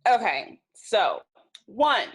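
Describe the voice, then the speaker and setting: A woman's voice: medium pitch, sing-song, very slow, a bit bright, husky, full character voice. A woman playing a matter-of-fact young housemate in a comedy table read, speaking in a blunt, businesslike way. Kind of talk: remote table read of a comedy web series script.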